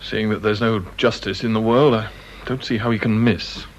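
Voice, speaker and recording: A man's voice: on the low side, fairly even intonation, normal speed, highs cut off, close to an everyday voice. A male actor plays a wry, mild-mannered colleague in a radio drama. The recording is old, with faint noise around the voice. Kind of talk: British radio drama acting.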